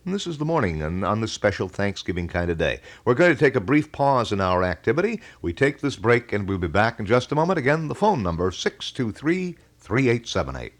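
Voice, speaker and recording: A middle-aged American man hosting a live call-in radio show, talking in a folksy, wordy way with halting phrasing. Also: lowish voice, big pitch swings. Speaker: radio talk show host. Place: radio studio. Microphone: studio broadcast microphone.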